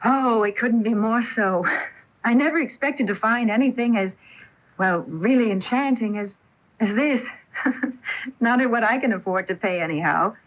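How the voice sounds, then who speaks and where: A woman plays an earnest young would-be actress in a radio play, with a fairly high voice full of wonder. The sound is a muffled, lo-fi broadcast tape. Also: moderate pace, close to an everyday voice. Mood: delighted. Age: young adult. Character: eager young aspiring actress from small-town Iowa. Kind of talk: radio drama acting.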